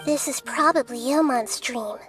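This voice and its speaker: A woman voicing a small, calm, wise childlike goddess in a video game, speaking softly in a measured, thoughtful way. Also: high voice, lightly acted. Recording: game music behind the voice.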